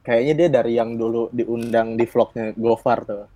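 A man with a strong Betawi Jakarta accent speaks in a slangy, joking podcast style. His pitch is average, and the sound is close and dry. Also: little pitch movement, normal speed, mellow, no room sound, lo-fi sound. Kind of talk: casual podcast chat.

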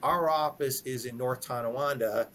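A man's voice: mid-range voice, moderate pace, a bit bright, slight background noise. A man who is a guest lawyer on a legal podcast, explaining hiring practices in conversation. He often repeats words as he starts a thought and tags his points with "right?".

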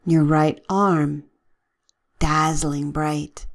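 A woman leading a guided relaxation with gentle, soothing, measured instructions and long pauses. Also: sits low, steady tone, gravelly.

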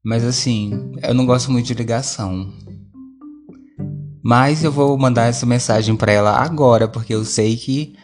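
A man's voice: mid-range voice, normal speed, music in the background. A Brazilian man chatting casually and alone as a podcast host, rambling conversationally and correcting himself as he goes. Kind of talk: casual solo chat, audio diary. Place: at home, alone.

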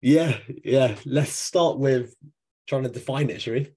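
A man with a slightly croaky, husky voice, chatting casually as a podcast co-host. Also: mid-range voice, a little slow, a little mellow.

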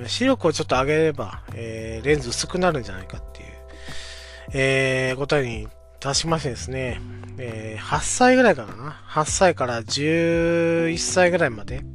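A man in his forties telling a childhood story on his own podcast, speaking politely and unhurriedly, with many filler sounds. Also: mid-range voice. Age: 40s. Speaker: podcast host. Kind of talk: casual storytelling monologue.

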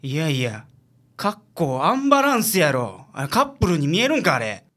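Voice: medium pitch, big pitch swings, moderate pace, fairly bright tone. An actor playing a grumpy, sardonic private detective with a Kansai accent in a Japanese radio comedy. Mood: scornful. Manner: gruff, dismissive, sarcastic. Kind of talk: Japanese comic radio drama acting.

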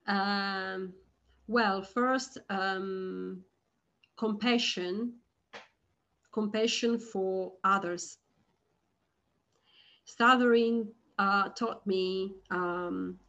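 A woman guest on a podcast, speaking slowly and hesitantly, with frequent restarts and repeated words. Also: medium pitch, fairly bright tone. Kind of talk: conversational interview.

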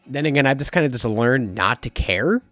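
Slightly hoarse young man rambling candidly. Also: medium pitch, expressive, a little fast, a bit bright, no room sound. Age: young adult. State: slightly hoarse, recovering lost voice. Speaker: podcast host. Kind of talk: casual solo podcast talk. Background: faint jazz music.

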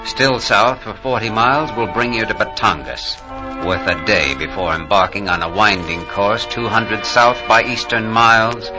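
A man's voice: fairly low pitch, normal speed, close to an everyday voice, rough recording. A man narrating a scripted 1940s radio drama as its announcer. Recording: vintage radio with loud music behind. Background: loud music.

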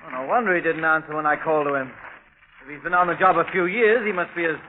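An actor playing a courteous, inquisitive gentleman writer in a scratchy 1930s radio drama, speaking politely and properly. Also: on the high side, fast talker, close to an everyday voice. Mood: curious. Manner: polite, well-spoken, curious. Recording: scratchy, tinny, noisy 1930s radio recording.

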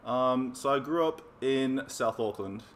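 A man's voice: medium pitch, measured pace, slight background noise. A man, chatting easily as an interview guest and coach, with frequent ums. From New Zealand. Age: 20s. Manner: easygoing, many ums, relaxed laughs. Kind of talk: casual interview chat.

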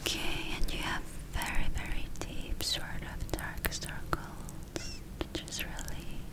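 A woman whispering in a breathy, gentle, unhurried way, performing an ASMR roleplay as a soothing witch healer. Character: soothing witch healer welcoming a spa client.

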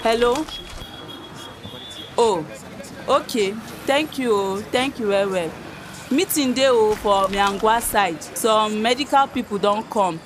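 An actress playing a sensible, calming Nigerian woman, measured and reasoning in a Pidgin radio drama. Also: mid-range voice, noisy background, natural voice.

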